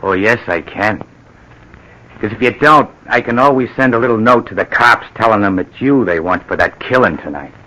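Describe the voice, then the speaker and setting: An actor playing a ruthless, menacing burglar in an old-time radio crime drama, with tough, slangy, bullying talk. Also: male voice, on the low side, moderate pace, sharp tone, poor audio. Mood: smug, menacing. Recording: vintage radio drama recording.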